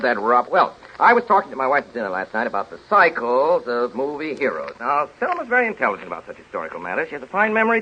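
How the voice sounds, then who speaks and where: An actor playing a blustery, scatterbrained Hollywood studio boss in a 1930s radio comedy, bossy, stammering and excitable. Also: male voice, mid-range voice, a little hiss or hum. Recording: dull vintage 1930s radio recording.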